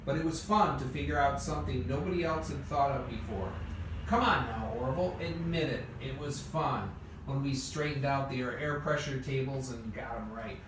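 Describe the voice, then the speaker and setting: A man's voice: mid-range voice, moderate pace, natural voice. A man playing a confident, wry inventor in an amateur radio play. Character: Wilbur Wright, confident, wry adult male inventor. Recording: echoey room, distant mic, noisy background.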